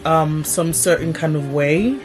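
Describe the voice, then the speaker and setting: A woman's voice: low voice, unhurried, music in the background. A young woman vlogging casually to her viewers, chatty and laughing often. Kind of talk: casual vlog talk to camera. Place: village home abroad.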